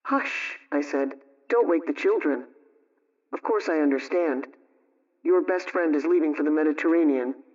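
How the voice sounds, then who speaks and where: A woman playing a brisk, motherly homemaking adviser, telling a scripted radio story in an emphatic, instructive way. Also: low-pitched, a little mellow, recorded from a distance, lo-fi sound, natural voice. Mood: emphatic, coaxing.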